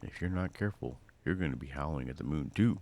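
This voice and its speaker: A man's voice: extremely low pitch, animated, quick pace, vocal fry, a little mellow, a little hiss or hum, heavily acted. A man voicing a charming, cocky roguish thief from a video game, flippant and teasing. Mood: cocky, wary.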